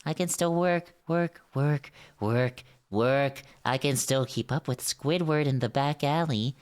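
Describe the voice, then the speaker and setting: A man's voice: mid-range voice, a little slow, clean tone, pitched above the performer's own voice, lightly acted. A man voicing an unhinged cartoon sea sponge, indignant and defiant, ranting obsessively. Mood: manic, defiant.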